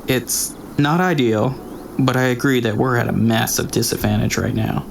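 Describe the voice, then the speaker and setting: A man's voice: medium pitch, moderate pace, close to an everyday voice. A man voicing a nervous young male scout who answers hesitantly and stammers. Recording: noisy recording with gravelly texture.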